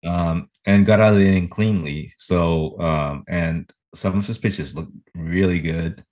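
Very deep-voiced male podcast co-host. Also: unhurried, a little mellow. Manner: brief listening replies and questions. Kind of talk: casual chat.

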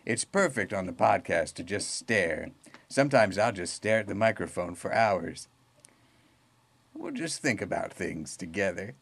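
Man, lowish pitch, podcast host, folksy, rambling, comic chat, repeated words. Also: expressive, unhurried, vocal fry, fairly bright tone, husky. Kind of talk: comic, chatty interview.

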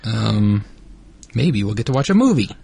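A man's voice: fairly low pitch, very animated, normal speed, vocal fry, warm tone, slightly rough, no room sound. Dry, rambling man co-hosting a podcast. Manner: rambling, self-deprecating, dry. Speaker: podcast co-host. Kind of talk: casual banter.

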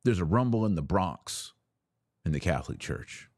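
A man hosting a religious news podcast, commenting and reading aloud with deliberate, emphatic phrasing and drawn-out words. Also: sits low, expressive, darkish tone, no room sound. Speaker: podcast host.

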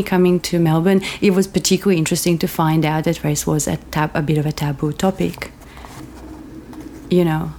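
A woman's voice: fairly low pitch, creaky, warm tone, a little hiss or hum. A woman from Croatia in a casual conversation, speaking hesitantly with many fillers and restarts.

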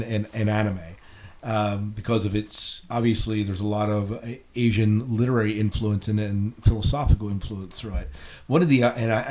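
A man's voice: lowish voice, darkish tone, a little hiss or hum. A man hosting a casual podcast discussion, reasoning aloud in a hesitant way with repeated phrases. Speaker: podcast host.

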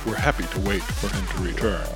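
A man voicing a smug, brisk male corporate agent, with music playing behind. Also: deep voice, steady tone, lightly acted.

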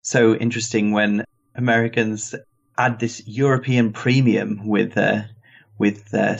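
A man's voice: on the low side, gravelly. A man with a British accent in an easygoing, reflective casual chat. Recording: dull lo-fi sound.

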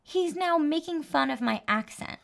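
A woman from New Jersey with a slight New Jersey accent, a dating coach telling a story in an expressive, animated way in casual chat. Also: high voice, normal speed, gravelly.

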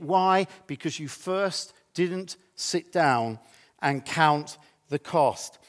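A man preaching a sermon as a pastor, with a slightly croaky voice and an emphatic, deliberate delivery that repeats phrases for effect. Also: sits high. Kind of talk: church sermon.

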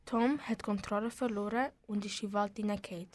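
A woman's voice: medium pitch, fairly even intonation, quick pace, a little mellow. A young female narrator of an amateur school radio play, speaking with a Swiss German accent.

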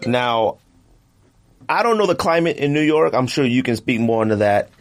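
A man's voice: medium pitch, expressive, moderate pace, faint music behind. A man hosting a podcast in a loose, colloquial, rambling way, full of conversational filler phrases. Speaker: podcast host. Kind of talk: casual podcast chat.

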